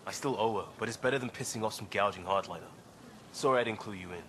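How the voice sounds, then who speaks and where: A man's voice: on the low side, quick pace, a bit bright, slight background noise, close to an everyday voice. An actor playing an earnest young crewman in a video game, talking informally and candidly. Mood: earnest, apologetic. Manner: informal, candid, a little sheepish. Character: earnest young male crisis-response crewman.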